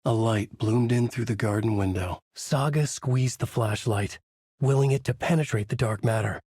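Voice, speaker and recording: A man's voice: mid-range voice, normal speed, warm tone, husky, dry recording, studio quality, close to an everyday voice. A man voicing a haunted male horror novelist, delivering grim noir-style inner monologue as game voice lines. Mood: brooding. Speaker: narrator.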